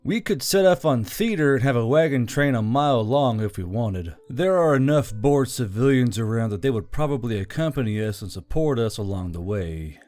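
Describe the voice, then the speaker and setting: A man's voice: medium pitch, animated, moderate pace, hardly any pauses, darkish tone, natural voice. A man playing a confident, sly male supply officer reporting easily in a military audio story.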